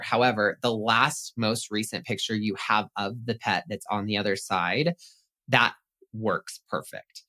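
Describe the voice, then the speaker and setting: A chatty, upbeat man speaks casually as a podcast guest. His voice sits somewhat low yet sounds bright and forward. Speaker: pet psychic guest.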